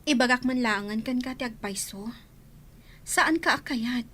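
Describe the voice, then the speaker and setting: Girl doing a blunt no-nonsense college girl, high bright voice, radio drama. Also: unhurried, husky, heavily acted. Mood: frank. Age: young adult. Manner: blunt, frank. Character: principled young female student. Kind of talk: Ilocano radio-drama acting.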